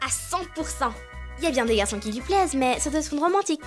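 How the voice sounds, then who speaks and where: A woman playing a bossy, teasing, energetic teenage schoolgirl in an anime fan dub, pushy and brisk. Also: high-pitched, faint music behind. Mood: confiding, teasing.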